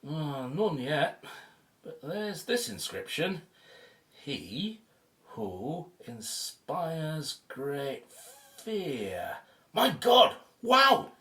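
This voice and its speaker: An actor in an audio drama playing an excitable, flippant man on an archaeology team, chatty and quick to exclaim. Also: a little high, full character voice. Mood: amazed, joking.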